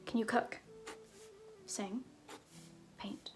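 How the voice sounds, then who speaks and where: A woman playing an easygoing, slyly flirtatious circus fool in an ASMR roleplay, talking softly and hesitantly, often trailing into whispers. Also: extremely slow, faint music behind. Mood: businesslike, playful. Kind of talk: ASMR roleplay, improvised-sounding casual talk.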